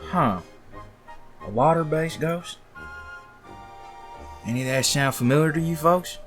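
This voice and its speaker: A man's voice: fairly high pitch, a little slow, a bit bright, soft background music. A man playing a casual, level-headed leader of a ghost-catching crew in an audio drama. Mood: easygoing, professional.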